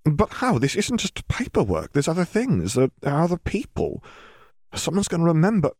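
A man's voice: fairly high pitch, big pitch swings, moderate pace, a little mellow, no echo, clean studio sound, voice pushed up. A man voicing a worried, practical mother who questions earnestly, in an audiobook story. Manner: probing, earnest.

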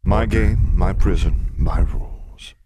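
A man voicing a menacing old male sphinx in an audiobook, growling and booming, with a taunting, domineering and theatrical manner. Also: slow pace, mellow, breathy edge, no echo, heavily acted. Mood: taunting, smug. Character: menacing, mocking old male sphinx monster, riddle master. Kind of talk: audiobook character acting.

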